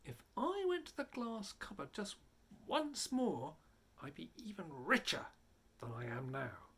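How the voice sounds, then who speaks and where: A man's voice: high voice, very animated, unhurried, a bit bright, husky, heavily acted. A man voicing a greedy, restless robber in a fairy tale, eager and scheming.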